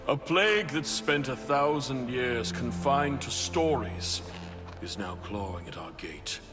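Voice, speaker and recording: A man voicing a solemn male city leader, giving a measured speech to his people. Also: mid-range voice, animated, music in the background, close to an everyday voice.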